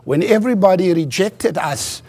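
A South African man whose first language is Afrikaans, preaching from a church pulpit as a guest preacher, earnest, with halting restarts. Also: high voice, expressive, a little mellow, husky. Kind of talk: sermon.

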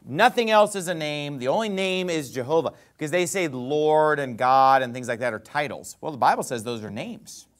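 A pastor preaching an emphatic sermon in a church, asking the congregation rhetorical questions. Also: male voice, on the high side, lively intonation, normal speed, hardly any pauses.

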